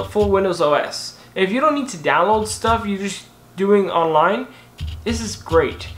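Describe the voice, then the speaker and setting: A man's voice: high-pitched, a bit bright. A young man rambling casually through a tech recap on his channel, correcting himself often and using lots of fillers. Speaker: tech vlogger. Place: indoor room in front of a banner. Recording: echoey room.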